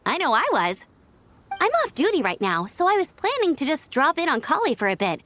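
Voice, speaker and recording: A woman's voice: sits high, very animated, fairly quick, a bit bright, slight background noise. A woman voicing an upbeat young scout in a video game, youthful, breezy and confident. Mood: cheerful. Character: upbeat, energetic young female scout, eager to help.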